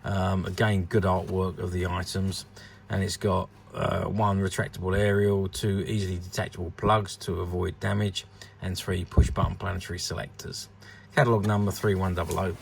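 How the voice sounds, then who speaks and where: Deep, gravelly-voiced man presenting. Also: flat delivery, moderate pace, a little hiss or hum. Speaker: vintage toy collector presenting an item. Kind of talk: unscripted showcase commentary.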